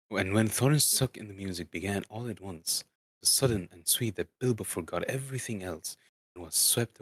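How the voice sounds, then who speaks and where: A man narrating a book dramatically as an amateur, halting and stumbling over words now and then. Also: low-pitched, no echo. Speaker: streamer reading aloud.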